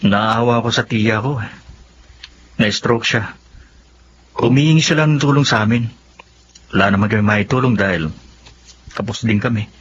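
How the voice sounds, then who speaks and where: A man's voice: fairly low pitch, normal speed, pauses often, fairly bright tone, natural voice. A man with a Filipino accent playing a glib, carefree young office worker who lies easily in a radio drama. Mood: feigned sorrow. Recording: muffled audio with faint background noise.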